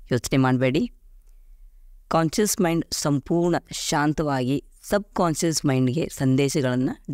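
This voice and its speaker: Slow, soothing male meditation guide. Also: a little high, a little mellow. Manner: slow, soothing, deliberate pauses. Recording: music behind the voice. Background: clear background music.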